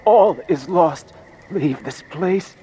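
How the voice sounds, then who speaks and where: A man playing a dying religious soldier in a video game, weak and labored, halting and grim. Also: high voice, expressive, a crowd in the background, poor audio. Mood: despairing, weak. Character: dying zealous male religious soldier, bitter and despairing. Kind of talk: dramatic game cutscene acting.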